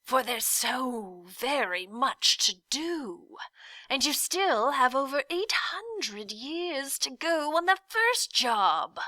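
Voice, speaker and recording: A woman voicing a villainous faceless male demon of petty tasks for a storybook, sly and menacing, drawing out words and moving between snarls and soft deceitful whispers. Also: sits high, sing-song, takes it slowly, no echo, pitched above the performer's own voice, exaggerated voice.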